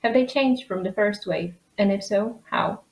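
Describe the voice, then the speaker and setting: Young Polish woman, polite and formal, mid-pitched. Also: moderate pace, low-quality recording. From Poland. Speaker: young diplomat asking a question.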